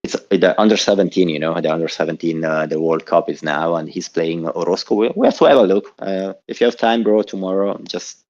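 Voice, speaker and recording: Deep-voiced Swiss caller, Italian native. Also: male voice, a little fast, hardly any pauses, darkish tone, dull audio. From southern Switzerland. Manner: rambling, with many restarts and repeated words. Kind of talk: casual call-in chat. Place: home in Zurich.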